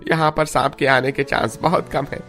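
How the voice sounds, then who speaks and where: Man playing a bright-voiced generous rich merchant. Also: a little high, steady tone, moderate pace, soft background music, natural voice. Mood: hospitable, concerned. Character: wealthy village merchant, generous, hospitable man. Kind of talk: Hindi cartoon story acting.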